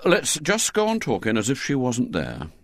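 A man's voice: mid-range voice, huge pitch swings, moderate pace, gravelly, dry recording, clean studio sound, pitched above the performer's own voice, exaggerated voice. A man voicing a stern schoolboy gang leader who blusters with proud scorn, in a storybook reading. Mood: grumpy, commanding.

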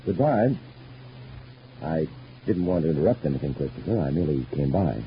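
A muffled old radio serial with faint hiss. a deep-voiced family doctor, patient and concerned, reasoning in measured, soothing phrases. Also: male voice, animated. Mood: calm, placating. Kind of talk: old radio soap opera acting. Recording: dull, noisy old radio recording.

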